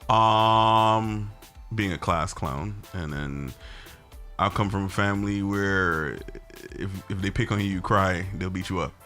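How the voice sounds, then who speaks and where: A man's voice: deep voice, a little slow, faint music behind. A man, a comedian chatting in a casual interview and acting out what people said. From Brooklyn, New York. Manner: animated storyteller who acts out quotes. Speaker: comedian guest.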